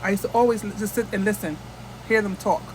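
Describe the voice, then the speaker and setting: A woman's voice: mid-range voice, quick pace, slight background noise, rough recording. A woman from the United States chatting casually into her phone camera as a vlogger, rambling and restarting often.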